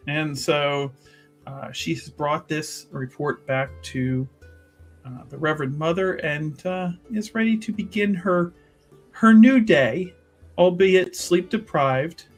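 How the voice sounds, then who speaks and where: A man speaking casually as a tabletop roleplaying player, halting and rambling with pauses mid-thought. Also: on the high side, fairly bright tone. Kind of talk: casual character introduction for an actual-play stream.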